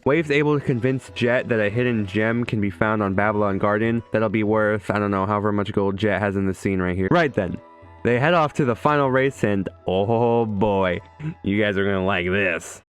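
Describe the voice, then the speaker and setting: A man's voice: lowish voice, fairly quick, clear, resonant tone. A young man giving casual, comedic, scripted video game commentary in an irreverent, profane, joking manner. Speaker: video game reviewer and streamer. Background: faint music.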